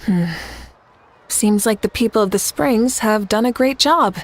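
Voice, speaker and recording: A woman playing a composed, commanding leader in a fantasy game, speaking in a measured, assured way. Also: fairly high pitch. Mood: composed authority. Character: composed, commanding adult woman leader, amused yet dutiful. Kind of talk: animated fantasy game acting.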